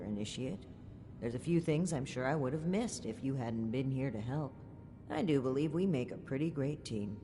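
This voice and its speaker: A woman playing an earnest, principled military officer in a video game, speaking formally and deliberately. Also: rumbling low, warm tone. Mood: warm, grateful. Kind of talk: scripted game dialogue. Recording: clear background noise, game ambience.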